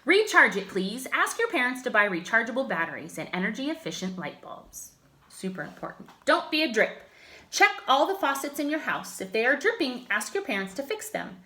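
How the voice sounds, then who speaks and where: A woman reading a children's picture book aloud like a teacher, with expressive, emphatic phrasing. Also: fairly high pitch, moderate pace. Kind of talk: children's story read-aloud.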